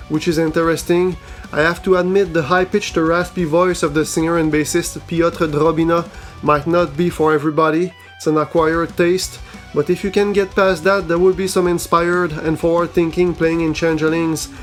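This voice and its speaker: A man reads a scripted news roundup of new metal albums in a steady, level manner. His voice sits a bit high for a man and barely moves in pitch. Faint guitar music plays underneath. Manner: even, measured reading. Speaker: host of a metal news show.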